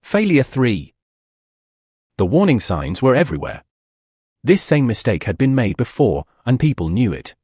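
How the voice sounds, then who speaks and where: A man narrates a history explainer in a husky, slightly breathy voice. His pitch rises and falls a great deal as he delivers punchy, dramatic lines and throws out rhetorical questions. The recording is close and dry, with no room sound. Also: sing-song, moderate pace, long pauses, a little mellow, no echo. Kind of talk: scripted documentary narration.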